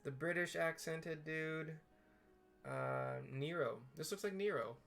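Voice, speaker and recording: A man's voice: fairly high pitch, slow pace, creaky, fairly bright tone. A man giving casual, rambling game commentary with spoken scene descriptions and joking asides. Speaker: video game streamer.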